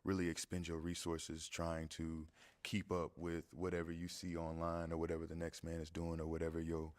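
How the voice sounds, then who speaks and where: A man answering questions as a dating show contestant in a calm, earnest way. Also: low-pitched, normal speed, no room sound.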